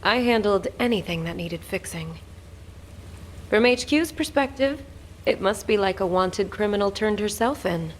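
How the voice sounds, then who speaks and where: An actress playing a sly, flirtatious former gang fixer in a video game, with a teasing, sultry, confident manner. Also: female voice, mid-range voice, expressive, normal speed, slight background noise, natural voice, rough recording. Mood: sly, confiding. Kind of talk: video game character acting.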